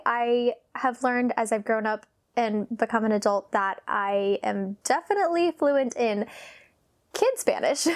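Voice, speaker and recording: A woman in her thirties talking about her own past in a vlog, nervous and halting, with sighs and pauses, sometimes dropping almost to a whisper. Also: on the high side. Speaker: vlogger. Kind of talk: personal confessional talk.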